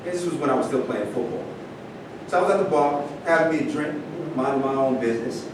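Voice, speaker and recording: A man's voice: a little fast, close to an everyday voice. A man playing a proud, indignant former football star telling his story in a staged reading. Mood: storytelling, resentful. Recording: echoey hall, distant mic, rumbling noise.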